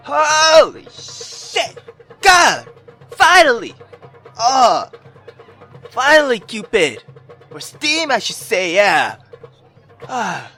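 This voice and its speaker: Animated, high-voiced man reading a visual novel. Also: very slow, long pauses. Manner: theatrical, excitable. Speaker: gaming YouTuber. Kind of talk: let's play, visual novel read-aloud with reactions. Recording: music behind the voice. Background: background music.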